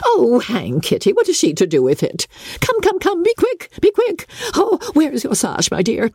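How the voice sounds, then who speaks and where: Shrill, fussy, excitable mother. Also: moderate pace, breathy edge, no echo, clean studio sound, exaggerated voice. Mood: excited, flustered. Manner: shrill, urgent, repeats herself. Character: fussy, excitable middle-aged English mother scheming for her daughters.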